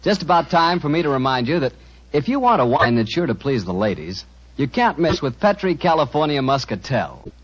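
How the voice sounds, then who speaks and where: An American man announcing on 1940s radio, delivering a sponsor pitch in a folksy, salesmanlike way. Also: breathy edge. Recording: dull vintage radio recording.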